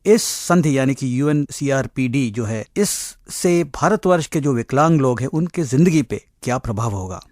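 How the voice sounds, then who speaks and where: A man's voice: mid-range voice, lively intonation, moderate pace, hardly any pauses, darkish tone, no room sound. A man interviewing a guest in a radio studio, asking formal, polite questions.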